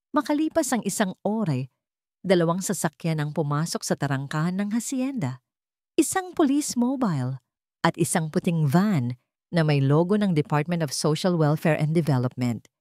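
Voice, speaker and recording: A woman with a Filipino accent narrates a scripted story in a measured, dramatic way, in short phrases. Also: low voice, darkish tone, no echo, studio quality.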